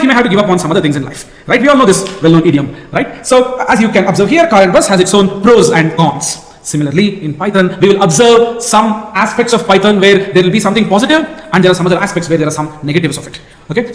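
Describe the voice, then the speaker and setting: Brief: male lecturer, quick rhetorical questions, echoey classroom recording. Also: high-pitched. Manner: lively, asks rhetorical questions. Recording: echoey lecture hall with long reverb.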